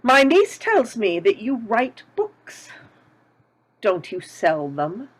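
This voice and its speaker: A woman's voice: on the high side, wildly swooping pitch, slow, breathy edge, full character voice. A woman reading a shrewd, haughty elderly landlady at a table read, probing with each question.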